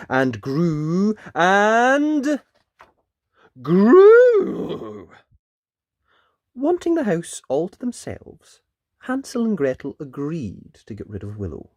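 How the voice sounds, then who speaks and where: A children's story reader and host giving animated storybook narration, with dramatic emphasis and pauses. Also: mid-range voice, no room sound, studio quality.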